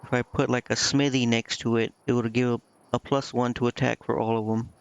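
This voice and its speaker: A man's voice: medium pitch, little pitch movement, a little fast, lo-fi sound. A man livestreaming a game, thinking aloud in a casual, muttering way as he puzzles things out. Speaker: livestreamer playing a strategy role-playing game. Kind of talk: casual gaming stream commentary, thinking aloud. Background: game music.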